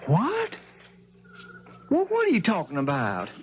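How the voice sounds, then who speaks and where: Sly country villain, Southern drawl, very animated delivery, noisy vintage radio drama. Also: male voice, high voice, unhurried, dull audio, heavily acted. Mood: startled, calculating. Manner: nice, pleasant talk masking menace, hesitant stammers. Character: cruel, scheming, smooth-talking younger country brother. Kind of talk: 1970s radio mystery drama acting. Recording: noisy old radio broadcast.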